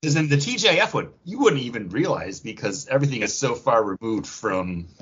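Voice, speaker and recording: Male podcast host, husky and animated, casual and jokey, slightly muffled recording. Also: medium pitch, fairly quick.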